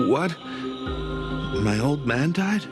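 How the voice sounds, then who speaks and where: Excitable, blustery high-voiced working guy. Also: slow pace. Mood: dismissive, bitter. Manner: blustery, emphatic, emotive. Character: flustered, brash working man, excitable and grumbling. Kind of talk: video game voice acting. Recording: music behind the voice.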